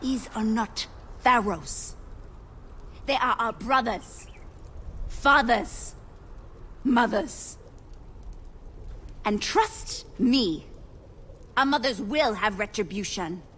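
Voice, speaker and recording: An actress playing a stern, vengeful priestess of ancient Egypt, deliberate and reproachful, in a game cutscene. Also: female voice, a little high, a bit bright, a little hiss or hum. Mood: solemn, vengeful. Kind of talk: dramatic game cutscene acting.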